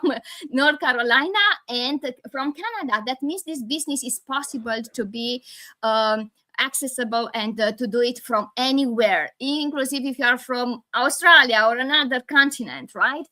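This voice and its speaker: Bright-voiced Romanian woman hosting a call. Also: on the high side. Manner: animated, halting with restarts and laughs. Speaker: host. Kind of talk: live video call chat. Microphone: video call.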